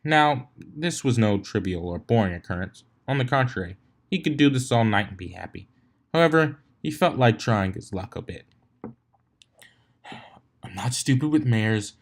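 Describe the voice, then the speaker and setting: A man's voice: medium pitch, lively intonation, moderate pace. A man reading fan fiction aloud as a narrator, now and then stumbling and rereading a phrase. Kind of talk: story reading with character voices.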